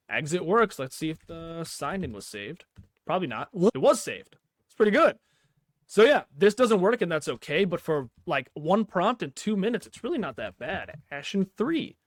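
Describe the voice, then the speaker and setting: A man's voice: fairly high pitch, dry recording, studio quality. A man giving casual, unscripted tech commentary over a screen recording, slangy and reacting aloud as he goes. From Pakistani background. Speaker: tech YouTuber, host.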